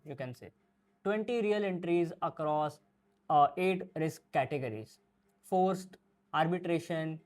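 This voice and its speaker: Explanatory tech tutorial voice, mid-pitched. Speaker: tutorial presenter. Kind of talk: software tutorial walkthrough, part scripted, part improvised.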